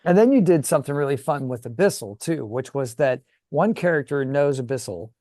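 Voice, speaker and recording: A man's voice: medium pitch, lively intonation, fairly quick, warm tone, no echo, studio quality. A man co-hosting a gaming show, talking casually and enthusiastically as he thinks aloud. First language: English. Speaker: co-host of a tabletop gaming show. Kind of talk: casual conversational chat.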